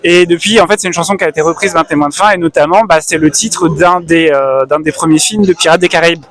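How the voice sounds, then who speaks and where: Soft-spoken man, measured and thoughtful. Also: on the high side. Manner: thoughtful, many pauses and fillers. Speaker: illustrator at a convention stand. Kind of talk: casual interview chat. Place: busy convention hall.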